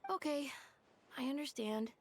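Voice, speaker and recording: A woman's voice: sits high, unhurried, a little hiss or hum. A woman voicing a polite, obedient small child in a video game. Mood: grateful, obedient.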